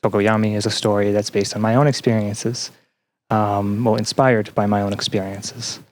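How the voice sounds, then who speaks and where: A young man reflecting earnestly and haltingly as a guest in a podcast interview. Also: on the low side, level tone. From Canada. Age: young adult. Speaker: game developer and student.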